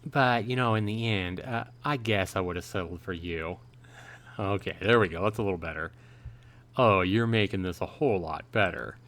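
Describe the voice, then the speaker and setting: A man's voice: low voice, moderate pace, a bit bright. A man hosting a live play-through of a visual novel, reading it aloud with casual commentary and reactions. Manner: reads the dialogue aloud, then paraphrases and reacts.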